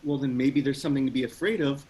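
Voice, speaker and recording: An actor playing a boyish young adventurer in a sci-fi table read, with a husky voice. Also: male voice, mid-range voice, quick pace, darkish tone, lightly acted. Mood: wary.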